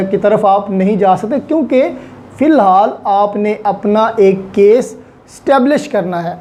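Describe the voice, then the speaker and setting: A man's voice: very high-pitched, mellow. A man with a Pakistani accent giving an explanatory lecture as a law teacher, repeating and rephrasing points and mixing in English terms.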